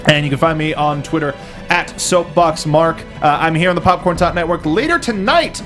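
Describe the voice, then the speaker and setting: A man with a slightly high voice hosts a casual film discussion. He talks with a fan's enthusiasm. Faint music plays behind him. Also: normal speed, a bit bright. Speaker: talk show host.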